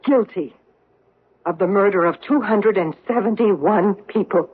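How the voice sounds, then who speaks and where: A grim survivor who confronts the officer who destroyed her village, speaking slowly and with quiet intensity. Her voice is a little husky. It comes through the thin, tinny sound of a 1970s radio broadcast. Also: medium pitch. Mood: solemn. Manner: intense, accusing, measured. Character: vengeful woman survivor confronting a war criminal. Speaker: actor in radio drama. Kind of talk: 1970s radio drama acting.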